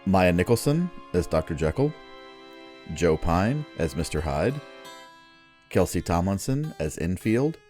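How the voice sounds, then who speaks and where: A man with a very low, animated voice announces over music. His scripted delivery is theatrical and showman-like, in the manner of old-fashioned radio.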